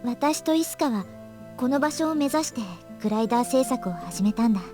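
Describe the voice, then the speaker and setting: A Japanese actress playing a calm, wistful young woman with boyish, plain speech in a visual novel. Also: high-pitched, rapid-fire, over music.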